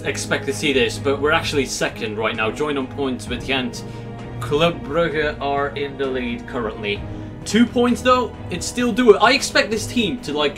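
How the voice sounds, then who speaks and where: A young man from Belgium with a Belgian Dutch accent, commentating a football game simulation in an upbeat, chatty way. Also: a little high. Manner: upbeat, chatty, swings between excitement and gloom. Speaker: gaming YouTuber. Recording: music playing underneath.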